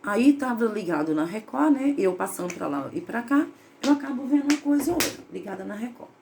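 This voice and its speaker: A woman's voice: on the high side, lively intonation, a little fast, gravelly, darkish tone, a little hiss or hum. A woman giving an emphatic, opinionated, rambling spiritual monologue from a room at home. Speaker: spiritualist vlogger.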